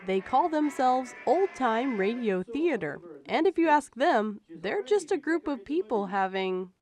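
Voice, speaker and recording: A woman's voice: a little high, sing-song, unhurried, darkish tone, soft background music. A woman giving scripted narration for a television feature.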